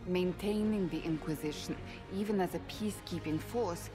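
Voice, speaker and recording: An actress plays a serious female adviser in a fantasy video game. She speaks formally in a fairly low voice, with music clearly playing behind her. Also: normal speed, close to an everyday voice. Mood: grave. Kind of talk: video game cutscene dialogue.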